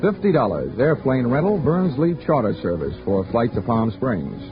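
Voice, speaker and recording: A dry, sardonic insurance investigator in a 1940s radio drama, swinging his pitch as he delivers wisecracks. The broadcast sounds dull and dated, with music playing behind him. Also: huge pitch swings, moderate pace. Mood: wry. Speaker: lead and narrator of a radio drama.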